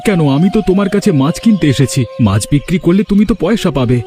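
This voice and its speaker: A man's voice: sits high, expressive, quick pace, over music. A man voicing a concerned, coaxing village husband in a cartoon folk tale. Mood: curious.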